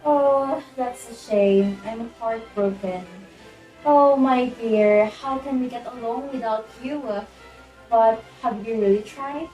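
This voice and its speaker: A woman's voice: on the high side, slow, warm tone, far from the mic, soft background music, rough recording. A woman playing a snobbish, scheming society hostess in an amateur play, theatrical and imperious. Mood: false regret. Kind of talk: amateur stage-play acting.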